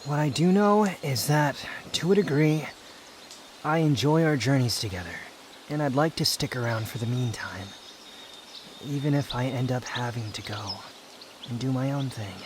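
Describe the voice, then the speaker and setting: A man playing an aloof, proud young wanderer in an intimate roleplay audio drama, guarded yet secretly fond, with a brooding, haughty delivery full of pauses. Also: mid-range voice, noisy background, close to an everyday voice. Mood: reluctantly tender. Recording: outdoor nature ambience with insects. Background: insect and nature ambience.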